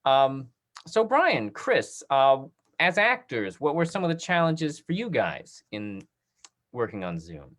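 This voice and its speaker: A man's voice: medium pitch, big pitch swings, slow pace, a bit bright. Man hosting a casual online discussion. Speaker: host of a talk back discussion. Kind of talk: casual interview over video call. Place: remote video call.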